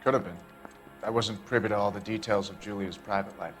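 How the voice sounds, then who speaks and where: Man acting a low-voiced, evasive businessman. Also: fairly even intonation, fairly quick, over music, lightly acted. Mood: uneasy, evasive. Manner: guarded, polite, hedging. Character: evasive, smooth-talking clothing businessman under police questioning. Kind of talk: dramatic interrogation dialogue.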